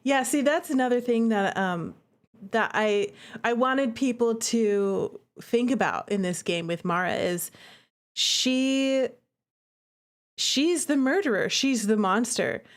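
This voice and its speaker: A woman with a fairly high voice talks casually to her livestream audience. She is chatty and expressive, commenting as a game developer and repeating points for emphasis. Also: takes it slowly.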